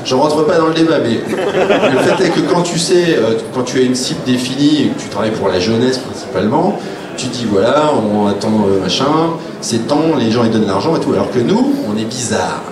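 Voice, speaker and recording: A man of average pitch talks informally as a panel guest, blunt and wry. He tells anecdotes and voices other people's remarks. The live recording is roomy, with clear noise around him. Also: lively intonation, fairly quick, recorded from a distance. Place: public round table in a room.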